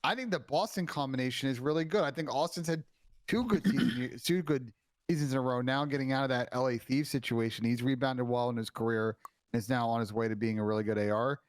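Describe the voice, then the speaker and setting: A man's voice: mid-range voice, fast, no room sound. A young man co-hosting an esports talk show, giving opinionated takes that he hedges with "I think". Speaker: esports analyst co-host. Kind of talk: casual esports chat.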